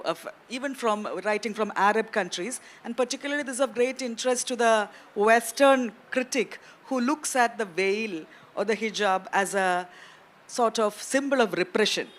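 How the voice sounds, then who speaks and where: A woman moderating a literary festival panel, asking questions in measured, academic phrasing. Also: medium pitch. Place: festival stage.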